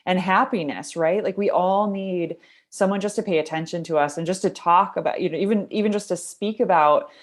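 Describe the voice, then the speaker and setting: A woman's voice: on the low side, a little fast. A woman hosting a podcast, asking long, rambling questions filled with "right?" and "you know.". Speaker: podcast host. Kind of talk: interview questions, casual chat.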